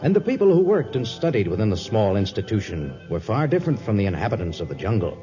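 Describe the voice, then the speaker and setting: A man's voice: lowish voice, very animated, fast, warm tone. A man announcing and narrating a 1950s radio drama in a dramatic, portentous, scripted manner. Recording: dull lo-fi 1950s radio recording. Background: faint music.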